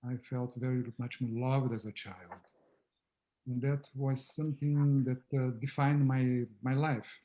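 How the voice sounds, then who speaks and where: A man whose first language is Portuguese, speaking softly and reflectively on a video call as a guest founder of a therapy method. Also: mid-range voice, steady tone, a little slow, rough recording. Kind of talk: casual conversation on a video call.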